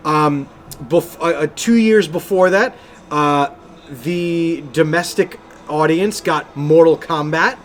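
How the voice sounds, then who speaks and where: A man in his thirties chatting casually as a podcast co-host, in a rambling, animated way. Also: a little high, takes it slowly. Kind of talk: casual chat about games and films.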